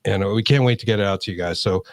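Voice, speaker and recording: A man's voice: lowish voice, very fast, dry recording. Casual New England man, dry close sound. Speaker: podcast co-host. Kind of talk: casual chat.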